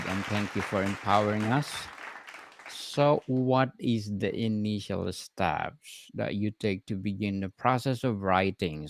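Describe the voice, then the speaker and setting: A man's voice: fairly low pitch, slight background noise. A man hosting a book-review podcast, asking formal prepared questions of his guest. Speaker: podcast host. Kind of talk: scripted interview questions.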